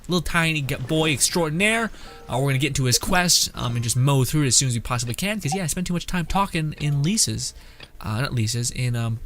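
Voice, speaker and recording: A man's voice: fairly high pitch, lively intonation, fast talker, a bit bright, faint music behind. A man giving casual gameplay commentary as a content creator, rambling and dropping joking asides.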